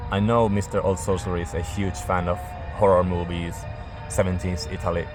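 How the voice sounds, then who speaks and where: A man casually reviewing albums in a halting way, searching for words as he goes. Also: low-pitched, flat delivery, over music. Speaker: music reviewer.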